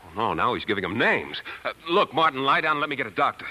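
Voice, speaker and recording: A man's voice: mid-range voice, sing-song, fast, pushed and bright, heavily acted, rough recording. An actor playing a chummy, exasperated young male roommate in a 1940s radio drama, slangy and wisecracking. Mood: worried exasperation. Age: young adult. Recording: vintage 1940s radio broadcast.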